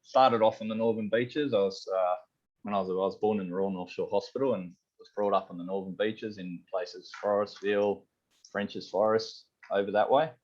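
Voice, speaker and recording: Deep-voiced Australian chef, rambling. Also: male voice, moderate pace. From Sydney, Australia. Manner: rambling, relaxed, many fillers like "you know" and "um". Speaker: head chef, guest. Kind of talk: casual interview chat. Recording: slightly dull lo-fi audio.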